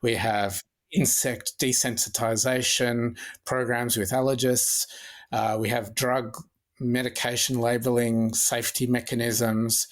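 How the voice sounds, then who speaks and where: An Australian man in his forties, an emergency physician speaking as a guest in a podcast interview, explaining in a measured, methodical way with frequent restarts. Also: medium pitch, flat delivery.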